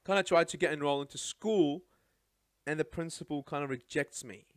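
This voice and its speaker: A man's voice: a little high, fairly quick, smooth, dry recording, clean studio sound. Iraqi-born man telling his story. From Iraq. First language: Arabic. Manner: animated, chatty, tags lines with "right?". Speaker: podcast guest. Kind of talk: casual storytelling chat. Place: studio.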